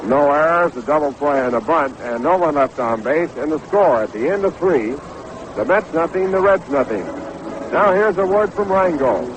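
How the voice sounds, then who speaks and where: A man's voice: fairly high pitch, expressive, moderate pace. An American man doing 1960s radio baseball play-by-play as the announcer. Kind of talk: 1960s radio sports commentary. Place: stadium broadcast booth. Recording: noisy 1960s AM radio broadcast.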